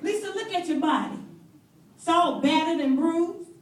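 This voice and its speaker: A woman acting in a stage play as a blunt, sassy, protective best friend, with emphatic, sardonic delivery. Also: very high-pitched. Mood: indignant. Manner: emphatic, drawn-out, sardonic, preacherly flourishes. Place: stage in a roomy hall. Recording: echoey hall from far off.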